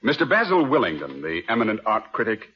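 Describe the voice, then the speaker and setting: A man's voice: fairly low pitch, wildly swooping pitch, fast talker, slightly rough, full character voice. An actor playing a formal, fussy lawyer in 1950s radio comedy style, precise and stiff, hesitating as he opens. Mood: formal, grave. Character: formal, fussy male lawyer bearing complicated news. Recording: dull vintage radio recording.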